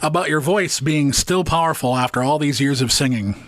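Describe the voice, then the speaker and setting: A man hosting an interview, asking brief, steady questions and giving short acknowledgements. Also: fairly high pitch, fairly quick. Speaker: interview host.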